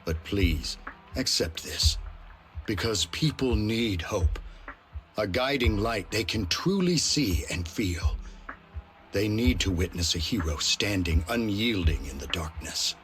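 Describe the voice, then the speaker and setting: An actor playing a dignified, solemn city mayor giving a formal, oratorical speech in a game. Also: male voice, lowish voice, animated, slow pace, a bit bright. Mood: solemn, proud. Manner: oratorical, stately. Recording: echoing public-address sound, music behind.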